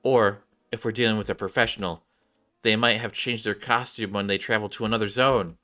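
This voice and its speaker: A man's voice: fairly low pitch, steady tone, fairly quick, sharp tone. A man reading game cutscene dialogue aloud, now and then stumbling over words and rereading them.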